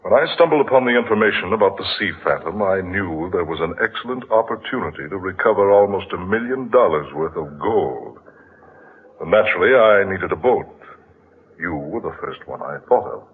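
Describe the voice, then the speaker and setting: An actor playing an earnest, persuasive ship's officer with an emphatic, formal manner, in old-time radio drama style. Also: male voice, deep voice, lively intonation, brisk. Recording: muffled, noisy old radio recording.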